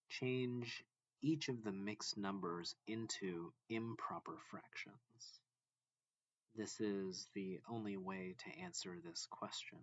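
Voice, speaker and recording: A man reading a math lesson aloud as a teacher, deliberately and step by step, phrase by phrase. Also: lowish voice, no room sound, dull audio. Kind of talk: slow read-along lesson narration.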